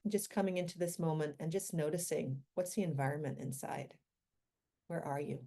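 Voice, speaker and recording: A woman leading a guided meditation in a gentle, unhurried manner, with long pauses between short phrases. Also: deep voice, creaky.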